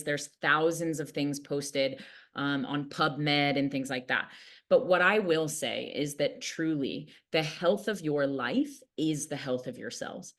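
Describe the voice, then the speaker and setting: A woman in her late thirties giving an upbeat, earnest product pitch in a casual way, with fillers like "like" and "right". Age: late 30s. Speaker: product distributor and mentor.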